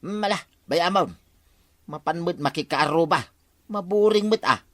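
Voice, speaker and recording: A man's voice: a little high, unhurried, a bit bright, dry recording. An actor playing a fussy, bantering village man in a Filipino radio comedy drama, with an Ilocano accent.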